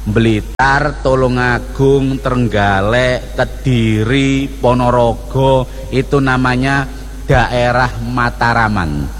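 A man preaching in a mosque, his East Javanese accent plain as he jokes with the congregation. He speaks slowly and deliberately. His voice carries a long hall reverb over faint background ambience. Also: medium pitch, a little hiss or hum. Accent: East Javanese (Surabaya). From East Java. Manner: jokey, animated, playing to the congregation. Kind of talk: sermon with humorous prayers.

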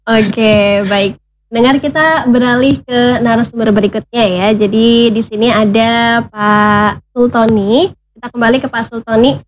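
This is a woman hosting a talk show. She keeps the talk moving politely and tags her sentences with small questions. Her voice sits a little high, with faint room ambience behind it. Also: measured pace, a little hiss or hum. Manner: polite, steady prompting, frequent "ya" tags. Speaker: host.

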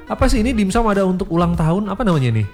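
A man's voice: high-pitched, big pitch swings, very fast, a little mellow, faint music behind. A man with an Indonesian accent hosting a casual, chatty radio interview, asking curious questions and repeating answers back to check them. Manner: inquisitive, repeats back answers, often adds tags seeking confirmation. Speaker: radio host interviewing a guest.

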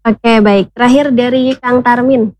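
A woman's voice: fairly high pitch, steady tone, measured pace, a little mellow, dry recording. A woman hosting a radio culture talk show, politely moderating and thanking guests. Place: radio studio. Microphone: studio microphone.

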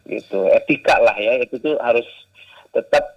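A man with a Sumatran-tinged Indonesian accent chats haltingly as an interview guest, pausing often on fillers. His voice is gravelly and sits at a middle pitch. The audio is dull and lo-fi, like a radio broadcast. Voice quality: gravelly, hesitant with many fillers. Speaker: interview guest, writer and journalist. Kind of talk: casual interview talk.

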